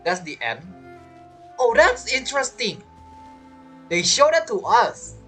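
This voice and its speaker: A woman streaming a video game with casual, bantering reaction commentary in a husky, expressive voice. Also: fairly high pitch, slow pace. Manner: expressive, bantering, repeats words. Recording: game music behind voice. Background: video game music.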